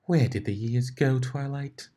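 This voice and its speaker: A genteel female unicorn with a taste for gossip and drama, as a man reads the part. The pitch sits above a man's usual talk, and the delivery is playful, coy and affected, in storybook style. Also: measured pace, mellow, lightly acted. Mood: wistful. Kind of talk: fan fiction reading with character voices.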